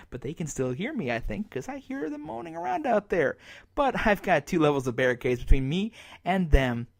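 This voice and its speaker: An actor playing a bitter, despairing emergency radio broadcaster in a zombie apocalypse drama, halting, sardonic and self-berating in his monologue. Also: medium pitch, gravelly, darkish tone. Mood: resigned, uneasy. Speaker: radio announcer.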